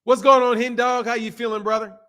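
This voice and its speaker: A man in his fifties talks on a live boxing stream with a fairly high voice for a man. He answers viewers loosely and hammers his points home by saying them again and again, breaking into laughs along the way. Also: brisk. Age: 50s. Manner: animated, talks back to chat, repeats himself for emphasis, laughs often. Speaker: boxing channel host. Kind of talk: casual live-stream chat reading comments.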